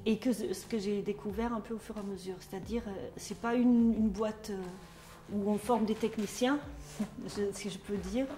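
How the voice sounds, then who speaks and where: A woman's voice: mid-range voice, fairly even intonation, moderate pace, mellow, roomy sound, a little hiss or hum. A middle-aged woman from Germany speaking with a German accent, talking hesitantly with many fillers and restarts in a testimonial interview as a trainer. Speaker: trainer at a social work school.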